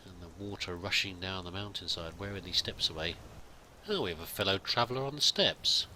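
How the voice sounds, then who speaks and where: Bright-voiced man musing over gameplay. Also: sits low, lively intonation, fairly quick, slight background noise, low-quality recording. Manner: musing, chatty, reads game text aloud. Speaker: let's play host. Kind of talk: roleplay game commentary.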